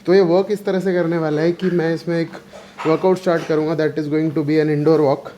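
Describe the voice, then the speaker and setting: A man's voice: on the high side, little pitch movement, normal speed, mellow, slight background noise. A young man vlogging casually to camera about his fitness routine, talking with a sore throat and a slight cold, switching between Hindi and English phrases. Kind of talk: casual vlog talk to camera. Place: home, then gym.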